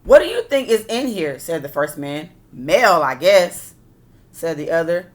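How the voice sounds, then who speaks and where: Woman reading a story aloud, lowish voice. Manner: expressive reading, pauses to explain and question listeners. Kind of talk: picture-book read-aloud with casual commentary.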